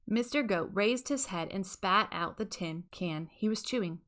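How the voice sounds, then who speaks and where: A woman narrates a storybook for children. Her voice is bright and forward at a middle pitch. She reads expressively, as scripted audiobook narration. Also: normal speed.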